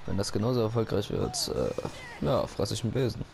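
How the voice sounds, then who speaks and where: A man chats loosely over his gameplay, rambling and joking to himself. His voice is low with a gravelly fry. Steady noise runs under the recording. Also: fairly quick. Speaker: gaming YouTuber. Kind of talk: casual let's-play commentary.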